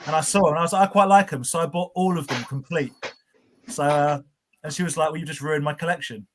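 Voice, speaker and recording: A man's voice: fairly high pitch, fast, fairly bright tone. A man on a podcast with friends, telling casual anecdotes as a guest. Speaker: podcast guest and toy collector.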